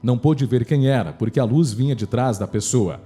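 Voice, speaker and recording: A Brazilian man narrates a scripted horror story. His voice is mid-pitched and mellow, reading as the narrator of a radio drama. Faint music plays behind him. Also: a little fast.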